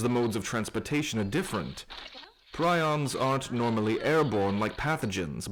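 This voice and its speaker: A measured male government immunologist played by a man speaks in a medium-pitched voice in an audio drama interview. He explains formally, pausing now and then, with a reassuring official tone and noticeable background noise. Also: natural voice, low-quality recording. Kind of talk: broadcast interview roleplay.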